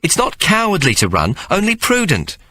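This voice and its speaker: A man's voice: high voice, wildly swooping pitch, moderate pace, pushed and bright, dry recording, voice pushed up, exaggerated voice. A man voicing a poor but loving mother who speaks firmly and emphatically. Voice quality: firm, emphatic.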